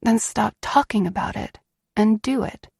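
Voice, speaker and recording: A woman's voice: fairly high pitch, measured pace, creaky, a little mellow, husky, no room sound, clean studio sound, full character voice. A woman voicing a defiant, devoted wife with a direct, open manner in an audiobook. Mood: willing. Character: defiant, devoted young wife of a dangerous man.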